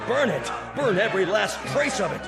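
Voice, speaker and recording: An old television soundtrack with loud music carries a ruthless male agitator. He barks orders and taunts like an orator, his pitch swinging widely in vintage drama style. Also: very animated, brisk, vocal fry, a loud crowd, heavily acted. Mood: triumphant, furious. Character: ruthless commanding armed male stranger inciting starving villagers to revolt. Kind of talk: 1960s television drama acting.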